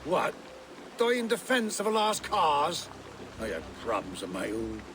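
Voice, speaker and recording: An actor playing a bitter, stubborn older reeve of a Saxon village in a game, gruff and gravelly, with archaic formal speech. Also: male voice, fairly high pitch, huge pitch swings, a little slow, fairly bright tone, a little hiss or hum, full character voice. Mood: bitter, distrustful.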